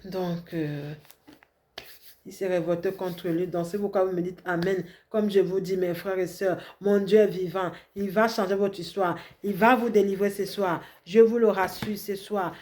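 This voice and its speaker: A woman preacher delivers a prophetic sermon, repeating her declarations with rising fervor and calling on listeners for amens. Her voice is mellow and dark, pitched in the middle range for a woman. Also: fairly even intonation, a little slow. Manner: fervent, repetitive declarations with call-and-response. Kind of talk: prophetic sermon, French then Spanish.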